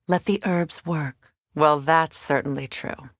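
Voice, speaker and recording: A woman voicing a resolute, battle-worn sorceress and protective mother in a video game, with a measured, earnest and commanding delivery. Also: low voice, steady tone, dry recording, studio quality, full character voice. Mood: gentle, firm. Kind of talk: dramatic video game voice acting.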